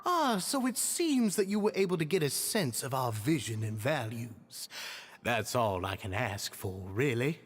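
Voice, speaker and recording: A man's voice: medium pitch, very animated, a little slow, husky. An actor playing an earnest, deferential male society leader in a video game, speaking politely and eagerly. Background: faint music.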